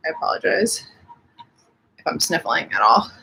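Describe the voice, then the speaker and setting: Sniffly, husky woman hosting a live stream. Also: sits low, very animated, moderate pace, sharp tone, low-quality recording. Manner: chatty, reads and answers viewer comments. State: sniffly from allergies. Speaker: livestream host. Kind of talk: casual live stream chat. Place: home.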